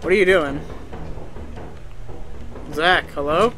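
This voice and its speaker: A man's voice: on the high side, takes it slowly, background noise. A man chatting casually with friends while playing a game, urging them on. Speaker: gamer.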